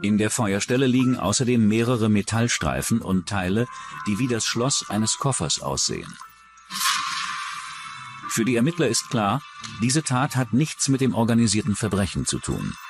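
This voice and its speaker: A male documentary narrator with a low voice delivers scripted true-crime narration. Music plays clearly behind him throughout. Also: sing-song, moderate pace.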